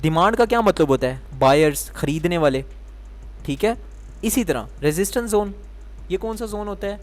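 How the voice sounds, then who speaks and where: A man's voice: high-pitched, animated, moderate pace. Man teaching with quiz-style questions. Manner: explains step by step, asking the viewer questions and answering them himself. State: sore throat. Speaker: trading teacher. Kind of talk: explanatory lesson.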